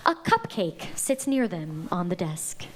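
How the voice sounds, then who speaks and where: A woman reading the stage directions aloud at a live table read, steady and measured. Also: lowish voice. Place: live venue.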